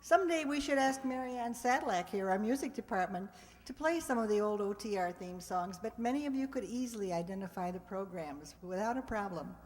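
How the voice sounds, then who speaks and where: A woman's voice: mid-range voice, animated, brisk, darkish tone. A woman host chattily introducing a live radio play, speaking directly to an audience. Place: live stage in a hall with audience. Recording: reverberant hall.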